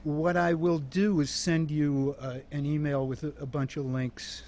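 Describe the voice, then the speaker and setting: A man's voice: fairly high pitch, level tone, unhurried, darkish tone. A man guest on a podcast, speaking hesitantly and trailing off.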